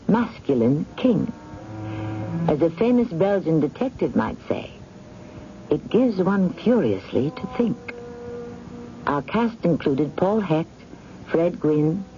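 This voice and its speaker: A man's voice: a little high, big pitch swings, takes it slowly, long pauses, dark tone, slight background noise. A man hosting a 1970s radio drama, reading scripted narration in a theatrical, droll way. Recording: dull lo-fi radio broadcast, music behind.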